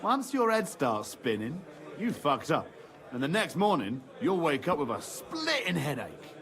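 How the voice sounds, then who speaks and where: An actor playing a boastful, hard-drinking medieval tavern regular in a video game, giving worldly advice with relish. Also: male voice, fairly high pitch, wildly swooping pitch, a little slow, fairly bright tone, heavily acted. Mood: boastful, knowing. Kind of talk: video game character dialogue.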